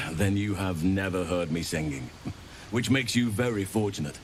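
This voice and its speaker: Courteous adventurer, fairly low voice. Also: male voice, slight background noise. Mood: gentle, wry. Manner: courteous, measured, self-deprecating. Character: gentle, modest, courteous male adventurer. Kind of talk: video game character acting.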